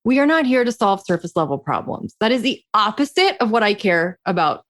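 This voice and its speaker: A woman's voice: medium pitch, very animated, fairly quick. A woman co-hosting a podcast as a coach, chatting casually in a frank, emphatic way. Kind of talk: casual chat between co-hosts.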